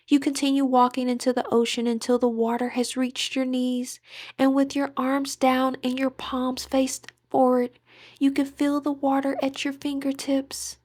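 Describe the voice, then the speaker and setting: High-voiced woman guiding a meditation. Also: darkish tone, no echo, studio quality. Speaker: guided meditation instructor. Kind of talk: scripted guided meditation narration.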